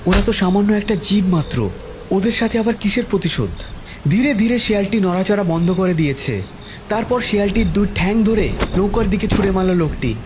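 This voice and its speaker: A man's voice: high voice, expressive, a little fast, noisy background. A man narrating a dramatic first-person story as its storyteller. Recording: loud music behind the voice.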